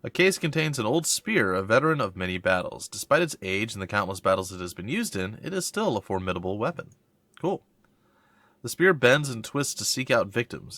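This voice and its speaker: A man's voice: lowish voice, fairly bright tone, no echo. A man with a stuffy, congested voice, a let's-play host who reads tutorial text aloud and thinks aloud as he plays. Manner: thinking aloud, reads on-screen text then reacts with mild puzzlement. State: congested from a cold. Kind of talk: casual gameplay commentary reading tutorial text aloud.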